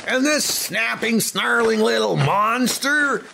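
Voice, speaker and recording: A man voicing an impetuous, indignant boy in a comic dub, excitable and plaintive. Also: extremely high pitch, slow, creaky, a little hiss or hum, voice pushed up, exaggerated voice. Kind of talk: comic dub character acting. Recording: music under the voice.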